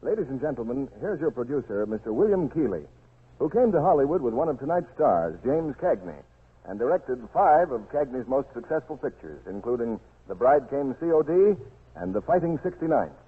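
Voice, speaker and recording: Grand 1940s radio announcer, tinny recording. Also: male voice, mid-range voice, big pitch swings, normal speed, breathy edge.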